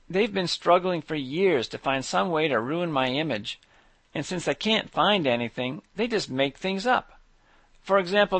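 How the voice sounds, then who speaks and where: A man with a slightly high voice reads his own scripted monologue. His delivery stays measured and even. The recording is close and dry, with no room sound. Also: dry recording. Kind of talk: scripted monologue read aloud.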